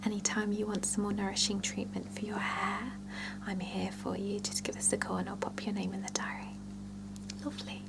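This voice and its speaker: A woman softly whispering in a gentle, reassuring ASMR salon roleplay, with a breathy voice. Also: mid-range voice, completely flat delivery, a little fast, very noisy. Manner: gentle, soothing, reassuring client-care chat. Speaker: ASMR performer playing a salon hair and scalp therapist.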